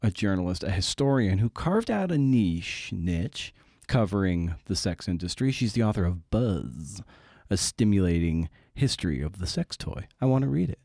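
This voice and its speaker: A podcast host, a man with a lowish voice recorded very dry. He talks casually and conversationally, with a slangy, irreverent streak. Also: big pitch swings, moderate pace, gravelly, a little mellow, no room sound.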